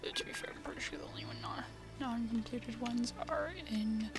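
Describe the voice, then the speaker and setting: A woman streamer giving casual live commentary on her gameplay in offhand, breathy asides. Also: a little high, wildly swooping pitch, a little fast. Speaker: game streamer. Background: game music.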